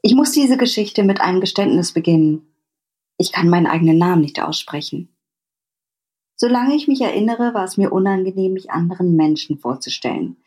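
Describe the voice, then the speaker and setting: Husky woman author reading literary prose. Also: on the low side, expressive, fairly quick, mellow. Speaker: author reading from own novel. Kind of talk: literary reading, scripted first-person narration.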